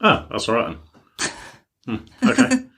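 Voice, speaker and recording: A man's voice: medium pitch, wildly swooping pitch, takes it slowly, a bit bright, husky. A young man co-hosting a podcast, chatting in an easygoing way, often hesitating and restarting his sentences. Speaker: podcast co-host. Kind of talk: casual chat.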